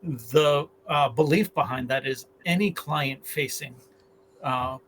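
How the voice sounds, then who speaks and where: A man's voice: medium pitch, slow, a bit bright, slight background noise, low-quality recording. A man on a livestream panel, earnestly telling a story from his career to make his point.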